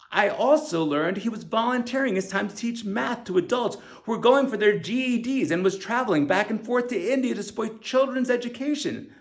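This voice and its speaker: A man reads his own first-person memoir as scripted audiobook narration, in an earnest, deliberate manner with emphatic stresses. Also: high-pitched, gravelly, a bit bright. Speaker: author reading own audiobook.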